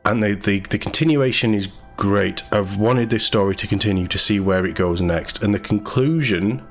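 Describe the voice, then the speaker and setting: Male gaming news host, low voice, casual and enthusiastic read-through with commentary, music underneath. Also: moderate pace. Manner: chatty, informal, enthusiastic. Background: background music.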